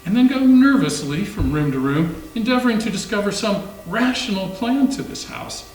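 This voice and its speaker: A man's voice: sits high, lively intonation, normal speed, a little mellow. A man reading scripted story narration in a readers theater performance. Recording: echoey room with faint hum.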